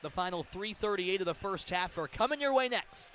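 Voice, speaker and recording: A man doing live radio play-by-play of a basketball game, an excitable announcer who builds to loud calls on big plays. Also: sits high, a little fast. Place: courtside in a large indoor arena. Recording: crowd noise, bouncing ball in arena. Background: arena ambience, basketball bounces.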